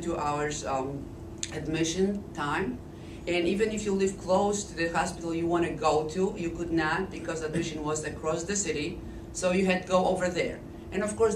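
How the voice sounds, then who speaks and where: A woman in her fifties from Poland with a Polish accent, hoarse from a cold, telling her life story slowly and deliberately with frequent restarts. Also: on the low side. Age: 50s. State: hoarse, voice lowered by illness. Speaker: interviewee recounting life story. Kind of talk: oral history testimony. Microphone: Flip camcorder at a distance. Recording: echoey, distant camcorder audio with noise.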